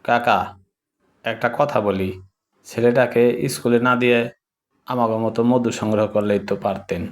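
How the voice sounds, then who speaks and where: A man's voice: mid-range voice, fairly even intonation, moderate pace, lightly acted. A man voicing a timid, anxious young helper in a cartoon, speaking deferentially with a Bangladeshi accent. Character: timid young male honey-gathering helper, tired and anxious. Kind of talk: cartoon voice acting. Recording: clear background noise.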